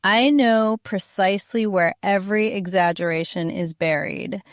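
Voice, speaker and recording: A woman's voice: mid-range voice, moderate pace, dry recording, natural voice. A woman playing a dry, unimpressed older wife in an audiobook drama, precise and long-suffering. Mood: wry.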